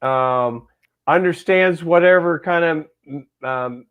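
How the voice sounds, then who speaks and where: A man in his sixties talks as a guest in a relaxed chat. His voice sits notably high for a man. He hesitates now and then, searching for the right word. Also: slow pace, clean tone, narrow, tinny audio. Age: 60s. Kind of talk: casual chat.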